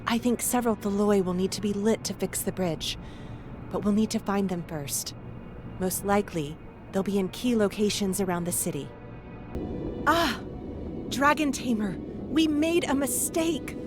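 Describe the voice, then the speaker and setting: A woman's voice: mid-range voice, moderate pace, over music, natural voice. An actress playing an earnest, determined female adventurer who guides her allies in a video game. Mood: alarmed, indignant. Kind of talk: video game cutscene acting.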